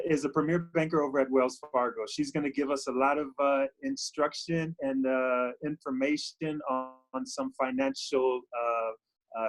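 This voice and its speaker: A man hosting a youth group chat on a video call, talking casually and haltingly with many fillers and restarts. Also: medium pitch, poor audio. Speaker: youth program host.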